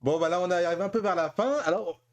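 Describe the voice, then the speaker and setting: A man's voice: sits high, brisk. A man hosting a casual group chat show, informal and teasing with the others. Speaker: host of an anime and tokusatsu chronicle show.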